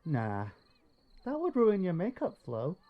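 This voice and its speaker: A man's voice: high voice, huge pitch swings, unhurried, highs cut off, full character voice. Swooping, teasing young male pony played by a man.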